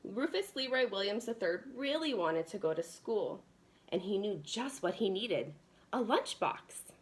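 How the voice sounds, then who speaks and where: A woman's voice: mid-range voice, animated, unhurried. A woman reading a children's storybook aloud as a preschool teacher would, expressively addressing young children.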